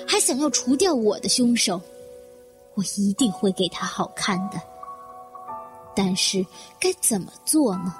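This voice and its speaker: A woman acting a vengeful, resolute woman who narrates a mystery radio drama in a measured, deliberate way. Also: mid-range voice, close to an everyday voice. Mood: grim resolve. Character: vengeful, resolute woman plotting against her would-be killer. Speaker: voice actor in an amateur audio drama. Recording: echoey, long reverb, music behind. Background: background music.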